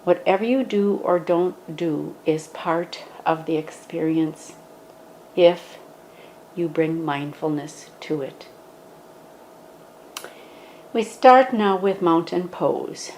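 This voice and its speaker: A woman gently guiding a standing yoga meditation, speaking in a calm, instructive way. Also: lowish voice, takes it slowly, pauses often, slight background noise, poor audio.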